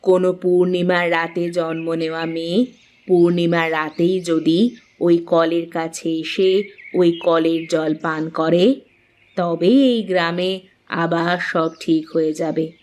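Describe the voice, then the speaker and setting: A woman acting a slow-spoken, grave village mother-in-law. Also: lowish voice, steady tone, a little mellow. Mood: grave, cautioning. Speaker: narrator and voice actor. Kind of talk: scripted cartoon story narration.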